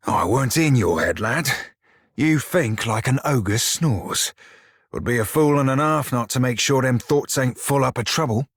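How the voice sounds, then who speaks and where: An actor playing a roguish, bawdy, furry blue pirate in a video game, drawling salty sailor slang with a teasing, wry manner and a rough-edged voice. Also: male voice, mid-range voice, no echo, clean studio sound. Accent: stylised pirate dialect. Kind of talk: video game character dialogue.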